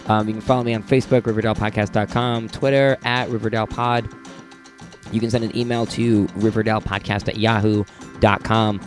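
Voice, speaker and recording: An American man chats casually as a podcast host in a lowish, rough, under-the-weather voice. Faint music plays behind him. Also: flat delivery, a little fast. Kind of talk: casual podcast outro talk.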